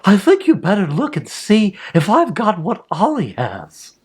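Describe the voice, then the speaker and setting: Man as a cheeky, nonchalant schoolgirl, pitched up. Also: huge pitch swings, unhurried, a little mellow, slightly rough, exaggerated voice. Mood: cheeky nonchalance. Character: sly, brazen schoolgirl, evasive and cheeky.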